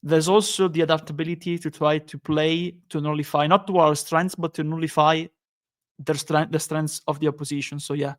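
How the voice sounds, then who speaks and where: Flat-toned man hosting a podcast. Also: on the high side. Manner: halting, many restarts, upbeat. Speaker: podcast host. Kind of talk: casual football podcast chat.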